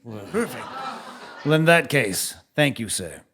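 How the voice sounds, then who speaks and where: A man's voice: mid-range voice, big pitch swings, unhurried, a bit bright, slightly rough, exaggerated voice. A man playing a meek, dryly literal court jester with a hesitant, understated delivery in a stage comedy. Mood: nervous, earnest. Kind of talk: comedic stage audio play acting. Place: theatre stage before live audience.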